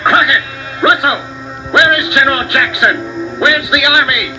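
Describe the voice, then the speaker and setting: A narrator voicing a stubborn, blustering army major who barks loudly and indignantly. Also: male voice, extremely high pitch, a little slow, pitched above the performer's own voice, poor audio. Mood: sputtering. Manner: loud, indignant barking. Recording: voices over loud music. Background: loud background music.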